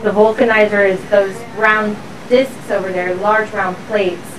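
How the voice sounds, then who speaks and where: A woman's voice: mid-range voice, little pitch movement, unhurried, a crowd in the background. A woman explaining things step by step as a factory tour guide. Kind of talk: explanatory walk-through tour. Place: busy factory floor. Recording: factory noise, somewhat distant mic. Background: machinery and workshop noise.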